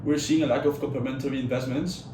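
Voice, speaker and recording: A young man playing a modest male analyst who explains in a measured way, recorded from a distance in an echoey room. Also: medium pitch, steady tone, mellow, a little hiss or hum, lightly acted. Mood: explaining. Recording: echoey room, far mic.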